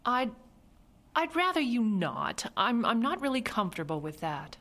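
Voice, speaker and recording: A woman's voice: on the high side, sing-song, moderate pace, fairly bright tone, heavily acted. A woman playing a fretful, passive-aggressive mother in a radio drama, hesitant and begrudging. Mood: reluctant disapproval. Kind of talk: radio horror drama acting.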